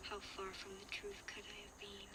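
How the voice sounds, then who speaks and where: A woman plays a young girl survivor telling her story in a game audio log. Her delivery is even and restrained, confessional and earnest, under loud background ambience. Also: mid-range voice, normal speed, heavy background noise, close to an everyday voice. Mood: uneasy, reflective. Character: young girl survivor recounting danger, frightened but reflective. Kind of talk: first-person dramatic audio log narration.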